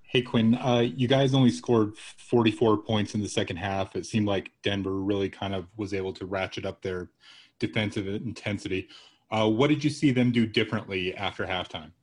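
A man's voice: on the low side, fairly even intonation, moderate pace. A man working as a sports reporter, asking a question at a post-game press conference.

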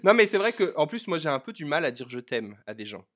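A man's voice: fairly high pitch, sing-song, fast, fairly bright tone. Casual young man chatting on a podcast. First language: French. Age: young adult. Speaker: podcast co-host. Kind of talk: casual chat.

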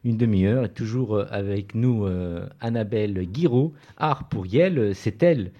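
French radio host, hesitant and chatty. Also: male voice, lowish voice, unhurried, mellow, highs cut off. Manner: hesitant, frequent "euh" fillers. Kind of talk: radio show introductions.

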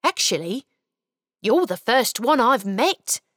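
A woman's voice: fairly high pitch, very animated, slow, fairly bright tone, dry recording, clean studio sound, exaggerated voice. A woman voicing a small, shy, cheerful male elf in a storybook, chatty and flustered.

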